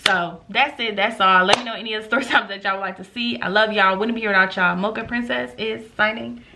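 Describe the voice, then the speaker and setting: Bright, chatty woman in her late twenties. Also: medium pitch, fast talker, creaky, slight background noise. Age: 20s. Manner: animated, chatty, addresses viewers as y'all. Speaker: vlogger telling a storytime. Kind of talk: casual storytime vlog.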